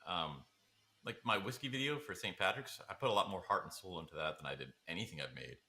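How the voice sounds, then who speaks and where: Guy co-hosting a podcast, super bright sharp voice, really animated, casual chat. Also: low voice, fast. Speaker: podcast co-host.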